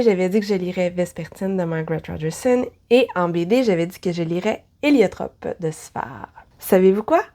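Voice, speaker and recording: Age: adult. Sex: woman. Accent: Québécois French. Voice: congested from a cold, mellow timbre. Style: chatty book vlog. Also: mid-range voice. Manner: chatty, self-deprecating, quick asides. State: has a head cold, stuffy voice. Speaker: book vlogger. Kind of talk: casual book review vlog.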